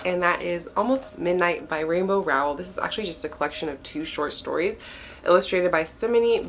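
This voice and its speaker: A young woman with a stuffy nose, chatting in a relaxed, often unsure way as she walks through the books on her shelves. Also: on the low side, a little hiss or hum. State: stuffy-nosed. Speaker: book vlogger. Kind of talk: casual bookshelf tour talk.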